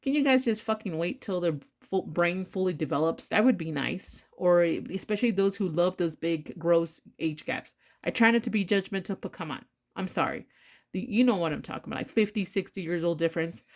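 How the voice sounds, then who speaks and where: A woman's voice: on the low side, brisk. A congested woman giving a casual tarot reading, talking bluntly with rambling asides and swearing freely. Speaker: tarot reader.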